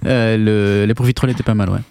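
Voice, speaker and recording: A man's voice: lowish voice, steady tone, quick pace, gravelly, darkish tone, clean tone. A man chatting casually as a panelist on a talk show. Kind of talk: casual chat.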